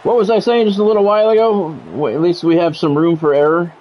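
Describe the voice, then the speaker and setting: A man streaming a football video game, giving excitable play-by-play and bluntly talking at the players in short, urgent, repeated phrases. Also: high-pitched, fast, low-quality recording. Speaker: gaming streamer doing play-by-play.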